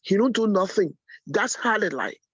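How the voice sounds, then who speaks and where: A woman's voice: mid-range voice, lively intonation, moderate pace, slightly rough, dry recording. A woman phoning in to give casual, opinionated commentary. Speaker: caller. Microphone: phone. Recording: muffled, band-limited phone call.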